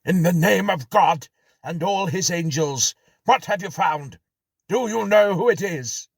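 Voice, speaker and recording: A man's voice: high-pitched, animated, measured pace, vocal fry, slightly rough, no room sound, clean studio sound, pitched above the performer's own voice, exaggerated voice. A man voicing the tormented ghost of a dead man, roaring and pleading from far below. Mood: frantic. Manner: roaring, frantic shouts.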